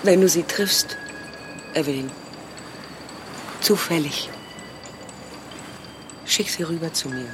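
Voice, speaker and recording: A woman's voice: low-pitched, takes it slowly, long pauses, noisy background. An actress in a German radio play voicing a middle-aged survivor mother who pleads with her estranged daughter. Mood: grave, resolute. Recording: music behind the voice. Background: music.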